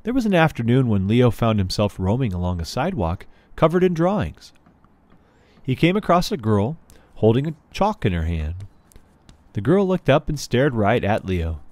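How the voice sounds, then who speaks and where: A man's voice: mid-range voice, very animated, normal speed, a little mellow, no room sound. A man reading a children's book aloud as a storyteller. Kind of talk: storybook read-aloud narration.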